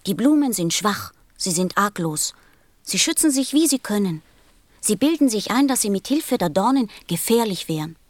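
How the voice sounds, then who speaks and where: A woman's voice: mid-range voice, sing-song, normal speed, a little mellow, no room sound. A woman playing a sensitive, earnest little boy prince in a radio play, speaking with insistence. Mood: indignant. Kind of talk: German radio play acting.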